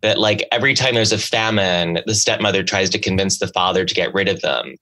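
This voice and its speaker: A man co-hosting a literary podcast from a video call, talking in a halting way with many restarts. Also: low voice, bright, forceful tone. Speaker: podcast co-host. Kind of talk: casual literary discussion. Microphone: remote video call.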